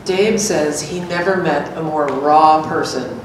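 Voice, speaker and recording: A woman's voice: low-pitched, a little slow. A middle-aged woman giving a staged reading of a two-person script, playing a wry, anxious character. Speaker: performer reading a scripted dialogue. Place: echoey gallery room with hard walls. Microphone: stand microphone. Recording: echoey room, distant, faint noise.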